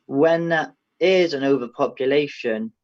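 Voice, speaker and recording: A man's voice: on the high side, unhurried. Young man thinking aloud, dull audio. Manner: tentative, thinking aloud with many "I feel"s. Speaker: panelist. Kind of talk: online panel discussion.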